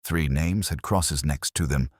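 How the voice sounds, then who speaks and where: A man narrating a scripted mystery in a measured, wry literary manner. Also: a bass voice, dry recording, clean studio sound.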